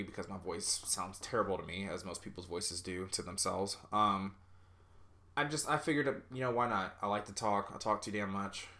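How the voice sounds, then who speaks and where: A man talks through his own podcast in a loose, rambling way, full of ums and likes and little self-deprecating asides. His voice sits low but has a bright, forceful edge. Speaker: podcast host. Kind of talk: casual solo podcast monologue.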